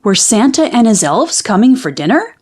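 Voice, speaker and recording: High, lively woman reading a storybook. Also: moderate pace, breathy edge. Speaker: children's book reader. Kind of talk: expressive storybook read-aloud narration.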